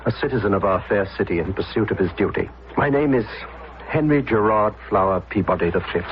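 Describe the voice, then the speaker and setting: An actor playing a prim, formal 79-year-old society gentleman in an old-time radio drama, with pompous, precise diction. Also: fairly low pitch, lively intonation, a little fast, lightly acted. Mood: decorous, proud. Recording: dull old radio broadcast, music behind.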